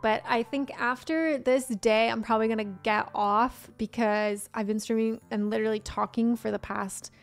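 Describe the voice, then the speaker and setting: Hoarse, chatty young woman streamer. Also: fairly high pitch, steady tone, moderate pace, few pauses, soft background music. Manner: chatty, rambling, talks to chat. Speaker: game streamer. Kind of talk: casual live-stream chat.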